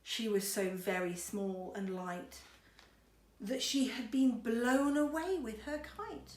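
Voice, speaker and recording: A woman's voice: fairly high pitch, slow, darkish tone. A woman schoolteacher reading a storybook to children expressively, with chatty, encouraging asides. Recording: roomy echo, faint background noise.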